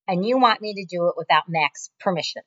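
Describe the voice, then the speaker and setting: A woman voicing a stubborn, reluctant middle-aged father with a flat manner, on a muffled lo-fi recording. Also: lowish voice, brisk, lightly acted.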